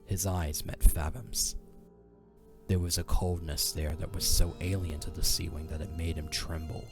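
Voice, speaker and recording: A man giving a dramatic scripted narration in a solemn, measured way. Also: low voice, little pitch movement, music in the background.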